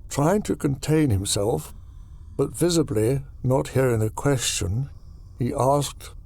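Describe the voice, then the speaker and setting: A man narrating a horror audiobook in a deliberate, dramatic storytelling style. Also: mid-range voice, vocal fry, a little mellow.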